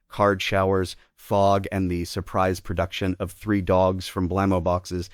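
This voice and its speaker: A man's voice: sits low, no room sound, clean studio sound. A man reading out scripted stage directions as the narrator of a play table read.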